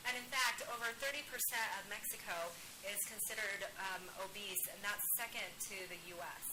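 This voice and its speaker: A woman giving an upbeat, measured presentation, pausing after each point for an interpreter, seated on a studio set. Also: mid-range voice, background noise. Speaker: wellness product director presenting. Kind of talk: scripted presentation, paused for an interpreter.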